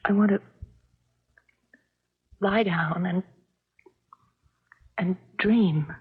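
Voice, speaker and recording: An actress playing a wistful, apprehensive woman falling for a stranger in a 1970s radio drama, speaking in a hesitant, dreamy, breathy way. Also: medium pitch, full character voice. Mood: dreamy, longing. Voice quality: breathy, husky. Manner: hesitant, dreamy, halting repetitions. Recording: dull, lo-fi 1970s radio recording.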